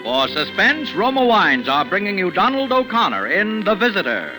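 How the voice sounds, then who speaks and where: A man announces in dramatic 1940s radio style. His high, animated voice rides over loud music. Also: moderate pace, poor audio. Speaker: show announcer.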